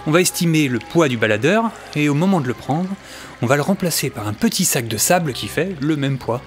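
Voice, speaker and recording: A man's voice: a little high, expressive, fairly quick, soft background music, natural voice. A man playing an overcautious, nerdy adventurer in an audio comedy, pedantic and fussy. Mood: fussy, worried. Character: overcautious nerdy adventurer fretting over absurd details. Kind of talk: French amateur audio comedy acting.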